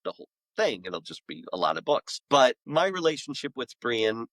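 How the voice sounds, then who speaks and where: A man's voice: medium pitch, sing-song, normal speed, a bit bright, no room sound. A middle-aged man speaking frankly and self-deprecatingly in a conversational podcast interview as a guest author.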